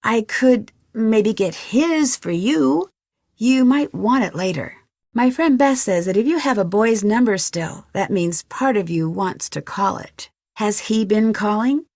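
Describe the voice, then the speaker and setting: An actress with an American accent playing an inquisitive, determined young amateur detective in a video game, speaking clearly and questioningly. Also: female voice, a little high, expressive, a little slow, darkish tone, no room sound, clean studio sound. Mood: friendly, coaxing. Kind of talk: scripted video game dialogue.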